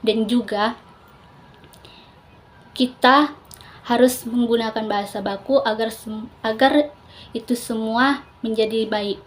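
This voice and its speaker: A woman's voice: fairly high pitch, steady tone, takes it slowly, pauses often. A young adult woman, a student giving a scripted presentation with explanatory asides. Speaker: university biology student presenting an assignment.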